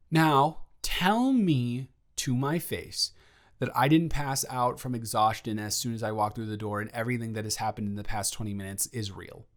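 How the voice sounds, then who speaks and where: A man's voice: mid-range voice, close to an everyday voice. A young man playing a lovestruck roommate in an intimate audio roleplay, earnest, rambling and stammering as he nervously confesses his feelings. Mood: stunned, relieved. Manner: rambling, stammering, earnest, awkwardly self-deprecating.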